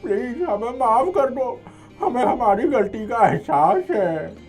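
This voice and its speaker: High-voiced contrite launderer, played by a woman. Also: very animated, slow, darkish tone, faint music behind, exaggerated voice. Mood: pleading, contrite. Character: contrite cheating launderer begging forgiveness. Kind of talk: cartoon moral-story dialogue.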